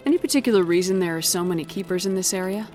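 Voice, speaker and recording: A woman acting a determined female commander in a sci-fi game. She talks fast in a lowish voice, blunt and assertive, with music behind her. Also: a little mellow, lightly acted. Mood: inquiring. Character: determined, defiant female human soldier commander.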